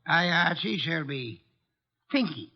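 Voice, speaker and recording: Pompous, gravelly radio detective. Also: male voice, a little high, expressive, slow, loud music behind the voice. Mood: commanding. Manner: pompous, precise, drily sardonic. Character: imperious, pedantic, eccentric male private detective. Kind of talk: old-time radio detective drama acting. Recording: dull, band-limited vintage radio recording.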